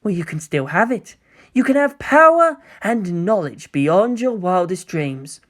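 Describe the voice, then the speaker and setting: A sly young male villain, part angel, speaks through a man's narration in a coy, gentle and mocking way. His lines swing widely in pitch. He muses theatrically, as in a dramatic story reading. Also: very animated, measured pace, full character voice. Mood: gently mocking.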